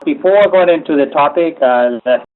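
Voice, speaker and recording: A man from Chile giving a webinar lecture, speaking haltingly with many "uh" fillers. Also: on the high side. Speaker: engineering lecturer. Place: home office. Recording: tinny phone-like audio.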